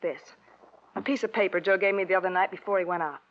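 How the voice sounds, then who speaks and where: A woman's voice: on the low side, steady tone, fast talker, lightly acted. Weary, guarded young American woman, played by an actress, subdued delivery, vintage radio crime drama, muffled recording. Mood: confiding. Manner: subdued, short fatalistic sentences. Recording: dull old radio recording.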